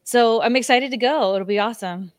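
A woman co-hosting a casual podcast, chatty and blunt, and quick to poke fun at herself. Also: a little high, no echo. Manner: chatty, self-mocking, blunt. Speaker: podcast co-host. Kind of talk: casual chat.

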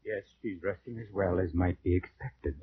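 An actor playing a reserved, sombre family physician, formal and guarded, in old radio drama style. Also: male voice, deep voice, normal speed, music in the background, close to an everyday voice. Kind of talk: 1940s-50s radio drama acting. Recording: muffled, faintly noisy old radio recording.

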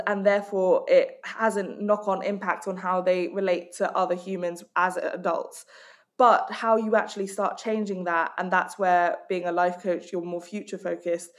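A woman from Hong Kong speaks as a life coach and author in a podcast interview. She talks directly and with emphasis, reassuring rather than pushing. Her voice sits at an ordinary middle pitch for a woman. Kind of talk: conversational interview answers.

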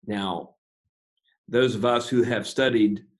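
A man, a pastor, explaining his views in an interview in a measured, methodical way, with frequent uh and um pauses and some self-deprecating humor. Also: on the low side.

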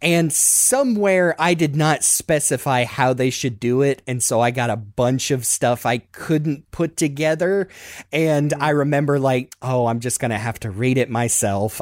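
A man hosts a casual podcast in a medium-pitched voice. He rambles conversationally and is quick to poke fun at himself. The sound is dry, with little room around it. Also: no room sound. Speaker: podcast host.

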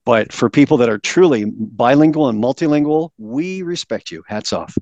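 Man, mid-pitched voice, podcast guest, emphatic lecturing delivery, dry sound. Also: expressive, normal speed, darkish tone, no room sound, dull audio. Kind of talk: interview, explaining a presentation.

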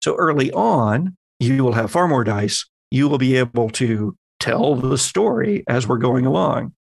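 A man acting as game master, explaining tabletop game rules in a measured, conversational, lightly playful way. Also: mid-range voice, lively intonation, creaky, husky, no room sound.

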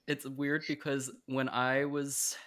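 A man's voice: mid-range voice, flat delivery, slow, fairly bright tone. A man in his twenties hosting a podcast, chatting enthusiastically with his guests. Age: 20s. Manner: chatty, enthusiastic, with frequent restarts. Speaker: podcast host. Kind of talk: casual interview chat.

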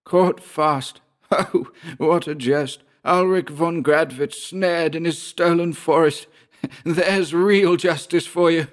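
A man's voice: a little high, fairly even intonation, slow, pitched above the performer's own voice. A man voicing a savage, mocking poacher, snarling and jeering at his enemy in a storybook tale. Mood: mocking glee.

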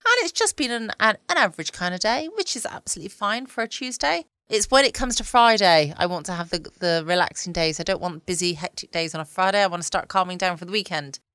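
A woman's voice: fairly low pitch, lively intonation, quick pace, hardly any pauses, bright, forceful tone, clean tone, dry recording, studio quality. A woman with a southern English accent chatting casually and cosily as the host of a bedtime podcast. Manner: chatty, cosy, informal. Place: at home, indoors.

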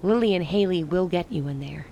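Grave, low-voiced woman leader, played by an actress. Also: a little fast, warm tone, slight background noise, lightly acted. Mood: solemn, insistent. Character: grave, resolute woman leader of a besieged rebel city. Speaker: actress in a radio play. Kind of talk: dramatic radio play dialogue.

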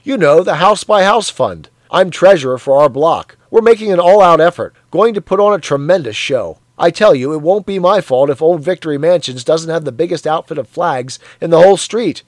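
A man voicing a hearty, sweaty, zealous middle-aged man who talks in a loud, cheery, blustering way. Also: fairly high pitch, animated, a little fast, hardly any pauses, dry recording, voice pushed up, natural voice.

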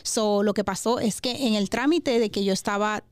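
A woman's voice: medium pitch, moderate pace, dry recording. A woman, a podcast guest talking casually about herself and mixing in English words. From born in Honduras, grew up in Kentucky from age nine. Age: 30s. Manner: mixes English words into Spanish. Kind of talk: casual personal storytelling.

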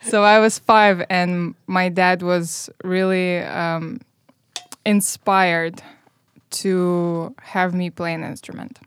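A woman in her thirties whose first language is Russian, a violinist guest talking casually, animated, laughing often and dropping into whispered asides. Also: fairly low pitch, slow pace, clear, resonant tone, dry recording. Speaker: guest musician. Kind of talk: casual podcast chat. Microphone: headphones and studio mic.

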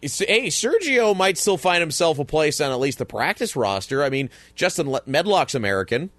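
A man co-hosting a casual sports podcast, chatty and easygoing, quick to laugh. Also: a little high, very animated, a bit bright, dry recording. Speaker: podcast co-host.

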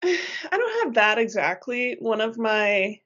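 A woman's voice: a little high, big pitch swings, measured pace, fairly bright tone, dull audio. A woman co-hosting a podcast in casual chat, playful and slangy, often laughing. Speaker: podcast co-host.

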